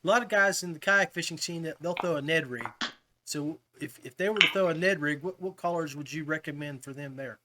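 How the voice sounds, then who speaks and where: A man's voice: a little high, a little fast, heavy background noise. A man hosting a casual fishing podcast, talking to guests in a chummy way, like old buddies. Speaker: podcast host.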